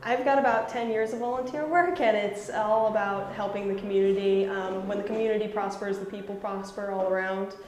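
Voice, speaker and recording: A woman's voice: medium pitch, steady tone, brisk, hardly any pauses, a little mellow, reverberant, slight background noise, rough recording. A woman who leads a volunteer relief team, speaking earnestly in an interview. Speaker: FEMA Corps team leader. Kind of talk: on-site interview.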